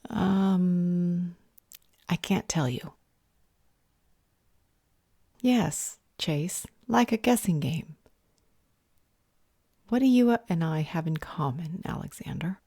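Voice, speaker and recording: A woman acting a composed, cryptic vampire healer in an audio roleplay drama, speaking in a measured, polite and dryly sarcastic way. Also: lowish voice, animated, warm tone, husky, dry recording, studio quality, heavily acted. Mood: evasive, dry.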